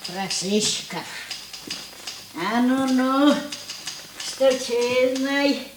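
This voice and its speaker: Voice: sits high, extremely slow, slight background noise, low-quality recording. A performer playing a chatty, folksy character in a radio drama, muttering and marvelling indulgently in a drawling, repetitive way. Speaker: actor in a radio play.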